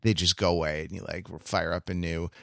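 A man chatting casually and analytically as a podcast panelist, in a halting, discursive way that circles back over phrases. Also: sits low, little pitch movement, gravelly, a bit bright, no room sound, studio quality.

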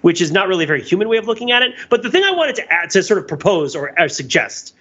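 Bright, forceful higher-voiced man on a panel. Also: big pitch swings, fast talker, breathy edge, dull audio. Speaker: podcast panelist. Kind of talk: discursive film and music discussion.

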